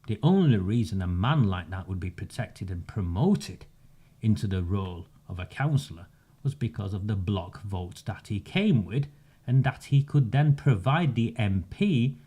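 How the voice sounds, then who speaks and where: A man, delivering a measured, sarcastic campaigning monologue with emphatic pauses. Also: lowish voice, animated, mellow, husky. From northern England. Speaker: community campaigner. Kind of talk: direct-to-camera monologue.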